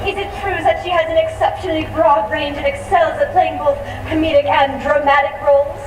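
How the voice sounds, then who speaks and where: A woman's voice: sits high, fairly even intonation, fairly quick, noisy background, close to an everyday voice. Woman playing a coy showgirl faking French. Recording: distant, echoey stage sound with loud band.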